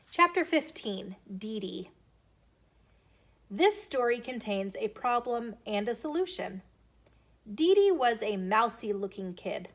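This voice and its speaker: High-voiced woman reading a children's story. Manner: expressive, deliberate storytelling delivery addressed to children. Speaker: storytime host reading children's books aloud. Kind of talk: children's storytime read-aloud narration. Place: filmed in a bookstore.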